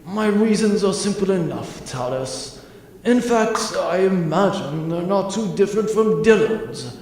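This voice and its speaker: A man playing a grave veteran commodore turned rebel in a sci-fi audio drama, speaking formally and deliberately. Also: expressive, darkish tone. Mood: calm, bitter. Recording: cavernous echo, rumbling background noise.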